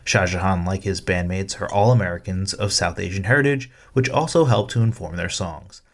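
A man's voice: low-pitched, brisk. A man hosting a podcast, introducing and interviewing a guest. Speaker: podcast host.

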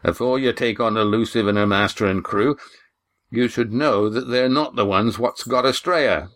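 A man's voice: on the low side, moderate pace, a bit bright, pitched above the performer's own voice, natural voice. Man playing a terse, commanding tavern man. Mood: cautioning. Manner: terse, folksy nautical dialect.

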